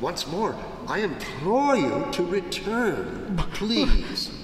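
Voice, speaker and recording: An older actor playing a cold, scheming nobleman who narrates his revenge in a slow, theatrical, mocking way, recorded live in a hall. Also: big pitch swings, heavily acted. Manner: slow, theatrical, mocking, swinging sharply in pitch. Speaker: Montresor, narrator-murderer. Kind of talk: live stage radio drama. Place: large hall before a live audience. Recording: roomy long hall reverb, audience noise.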